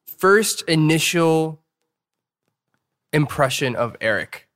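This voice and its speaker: A man's voice: fairly high pitch, animated, takes it slowly, a bit bright. A man hosting a casual interview, asking questions in a chatty, joking manner. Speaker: interviewer, host.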